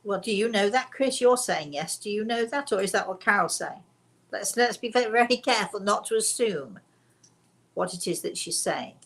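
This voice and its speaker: A woman hosting a casual spiritual talk, speaking deliberately and repeating phrases for emphasis. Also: medium pitch, animated, gravelly, a bit bright. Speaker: host and spiritual teacher.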